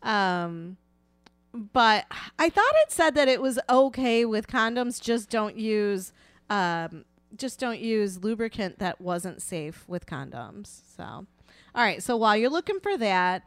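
A woman's voice: medium pitch, very animated, slow, dry recording. A woman co-hosting a casual podcast, chatting and reading aloud in a frank, playful, informal way. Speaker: podcast co-host.

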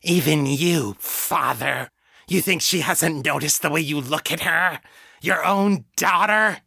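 A man's voice: on the high side, slow pace, creaky, pushed and bright, breathy edge, no echo, clean studio sound, voice pushed up, exaggerated voice. A man voicing a teenage girl who puts on a sneering, mocking demon voice. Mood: mocking malice.

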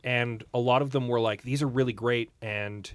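A man's voice: mid-range voice, steady tone, moderate pace, clear, resonant tone, no echo. A man with a Canadian accent co-hosting a podcast in a casual, matter-of-fact way. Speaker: podcast co-host. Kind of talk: casual chat.

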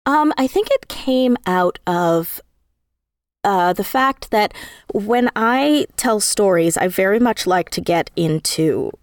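A woman speaking casually as an interview guest, telling things in an animated, emphatic, self-deprecating way. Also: mid-range voice, slow, no echo, studio quality. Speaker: interview guest, audio drama writer.

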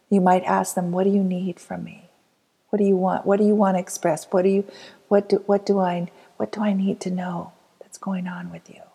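A woman leading a guided inner child healing meditation, speaking softly and slowly and often dropping to a whisper. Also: on the low side, vocal fry, husky. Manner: soft, slow and soothing, often dropping to a whisper.

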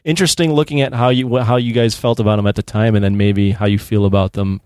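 A man's voice: lowish voice, steady tone, quick pace, darkish tone, dry recording, studio quality. A man in his thirties co-hosting a podcast, talking casually about films. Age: 30s. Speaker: podcast co-host. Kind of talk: casual film discussion.

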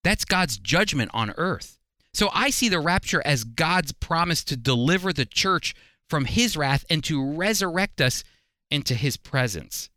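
A man's voice: a little high, lively intonation, unhurried, sharp tone, dry recording, clean studio sound. A man teaching the Bible in a sermon style, emphatic and putting rhetorical questions to his listeners. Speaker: Bible teacher and program host.

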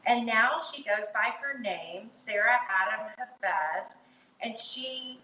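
A woman's voice: fairly high pitch, animated, takes it slowly, sharp tone. A woman hosting a chatty interview show at home, speaking in an effusive, enthusiastic way. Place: home, indoors with windows open. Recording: echoey room, muffled, faint background noise.

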